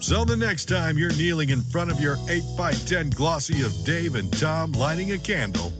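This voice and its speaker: Man announcing promos over loud music. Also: a little high, lively intonation, moderate pace. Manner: dramatic, mock-solemn. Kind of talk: scripted radio promos.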